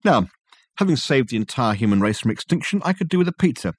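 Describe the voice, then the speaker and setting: A man's voice: medium pitch, big pitch swings, fast, breathy edge, no room sound. A man voicing an effusive, self-satisfied male detective who talks in flowery, rambling sentences.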